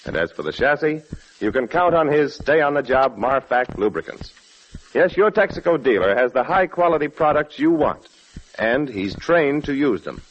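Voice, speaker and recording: A man's voice: medium pitch, big pitch swings, normal speed, highs cut off. A man reading a scripted advertisement as a 1940s radio announcer. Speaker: commercial announcer. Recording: slightly noisy 1940s radio recording.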